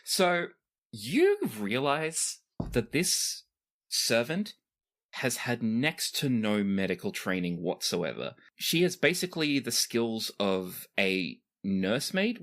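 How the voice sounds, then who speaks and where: A game master improvising casual, descriptive narration for a tabletop game, pausing for effect. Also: medium pitch. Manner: animated, descriptive, pauses for effect.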